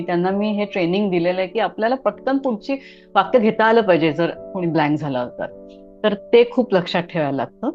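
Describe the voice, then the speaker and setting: A middle-aged woman from Maharashtra talking as an interview guest, telling anecdotes conversationally in an animated manner. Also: mid-range voice, muffled recording.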